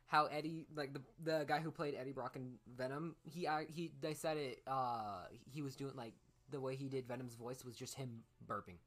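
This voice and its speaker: A young man co-hosting a casual podcast, rambling with restarts and sudden loud outbursts, talking into a shared desk microphone. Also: medium pitch, fairly even intonation, normal speed. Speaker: podcast co-host. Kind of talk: casual chat.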